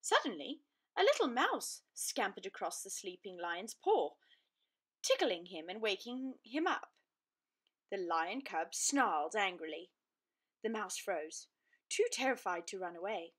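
A woman narrating a children's storybook aloud in an expressive, deliberate, dramatic way. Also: medium pitch.

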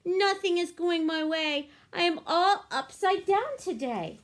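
A frustrated turtle from a children's picture book, as a woman reads it aloud. He speaks high and drags the words out slowly. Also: expressive. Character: gloomy then cheerful turtle.

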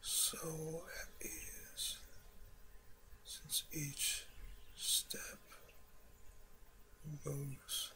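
A man narrating a guided sleep visualization in a soft, breathy, often whispered voice, calmly and deliberately, with long pauses between short phrases. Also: fairly high pitch. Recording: clearly noisy recording with ambient sound.

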